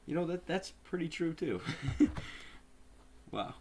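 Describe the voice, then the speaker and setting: A young man co-hosting a podcast in casual chat, relaxed, laughing often, with lots of "like" fillers. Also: a little high, slow, slight background noise. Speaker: podcast co-host.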